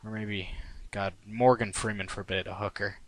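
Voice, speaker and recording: A man's voice: on the low side, level tone, moderate pace. A man reading his own first-person fan fiction in a wry, conversational way. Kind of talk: first-person story narration.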